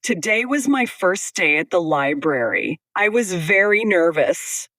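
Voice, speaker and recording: Slow woman reading a simple story. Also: on the low side, very animated, fairly bright tone, no room sound, clean studio sound. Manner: slow, plain, evenly paced sentences. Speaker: storyteller in a lesson.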